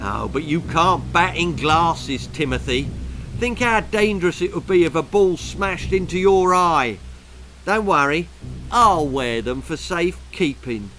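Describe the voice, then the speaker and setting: A man's voice: fairly high pitch, animated, unhurried, sharp tone, voice pushed up. A man voicing a cocky schoolboy bully in a children's storybook, taunting with mock-friendly, sneering menace. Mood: mock concern. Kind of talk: children's horror-comedy storybook reading. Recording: music behind.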